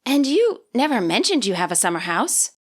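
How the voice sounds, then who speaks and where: A woman voicing a quiet, reserved teenage girl who speaks softly and hesitantly in a storybook reading. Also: on the high side, sing-song, normal speed. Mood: curious. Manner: soft-spoken and hesitant.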